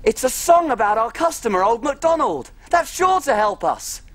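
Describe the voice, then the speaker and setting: A woman's voice: on the high side, sing-song, moderate pace, dry recording. An actress playing a tiny, cheerful female cook who tells stories in a bubbly, sing-song children's TV manner. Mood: excited. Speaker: little cook. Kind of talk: children's TV acting.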